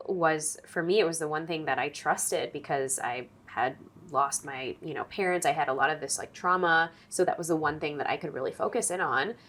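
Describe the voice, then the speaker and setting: A woman hosting a podcast interview, empathetic and expressive, with vivid reactions to her guest. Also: deep voice, moderate pace, few pauses. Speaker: podcast host. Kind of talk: conversational interview.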